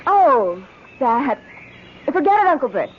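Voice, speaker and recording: An actress playing a defiant, evasive young wife on an expedition in a 1970s radio drama. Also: female voice, high voice, big pitch swings, slow, full character voice. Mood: evasive, nervous. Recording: muffled, noisy old radio broadcast.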